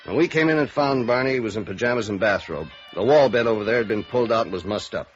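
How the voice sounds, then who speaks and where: An actor playing a guarded, middle-aged American police sergeant in 1950s radio noir, clipped and deadpan. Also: male voice, fairly low pitch, quick pace, lightly acted. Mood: businesslike, dry. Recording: dull vintage radio recording, faint music.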